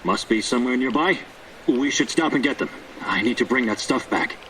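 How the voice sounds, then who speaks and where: A man's voice: mid-range voice, animated, fairly quick, fairly bright tone, recorded from a distance, slight background noise, natural voice, rough recording. An actor playing a shifty, pleading male survivor in a video game, hesitant and evasive. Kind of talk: video game character acting.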